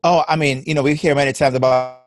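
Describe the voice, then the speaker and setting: A man's voice: fairly high pitch, fairly even intonation, quick pace. A man with a Jamaican accent, a personal development coach, speaking as an interview guest in a reflective, encouraging way. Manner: warm, reflective and encouraging, laughs easily.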